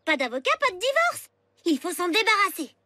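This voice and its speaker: A woman's voice: very high-pitched, big pitch swings, a little fast, pushed and bright, heavily acted. A woman voicing a young girl who is eager and scheming, in a cartoon.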